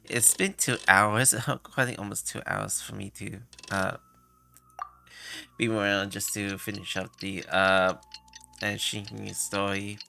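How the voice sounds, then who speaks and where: A man chatting casually as a game livestreamer, halting and rambling with hesitant phrasing. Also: fairly low pitch, little pitch movement, gravelly, bright, forceful tone, a little hiss or hum. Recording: game music behind the voice.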